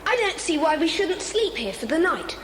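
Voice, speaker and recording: A woman playing a plucky, practical young boy in a children's audio adventure, brisk and exclamatory as the figure takes charge. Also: very high voice, sing-song, a bit bright, full character voice. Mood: relieved, practical. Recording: noisy, reverberant old cassette dramatisation.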